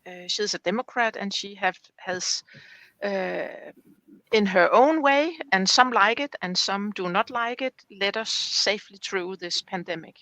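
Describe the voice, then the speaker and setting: Woman, Danish accent, Danish native speaker, halting English, bright tone, dry sound. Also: medium pitch, dry recording, rough recording. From Denmark. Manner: careful, halting English with many uhs. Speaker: guest. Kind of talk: casual video call interview. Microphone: video call.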